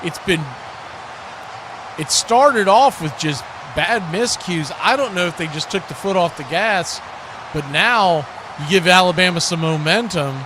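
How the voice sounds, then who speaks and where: Male play-by-play host, somewhat high voice, switching between game calls and casual chat, clear background noise. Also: expressive, measured pace. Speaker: radio host calling play-by-play. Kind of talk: sports play-by-play commentary mixed with casual chat.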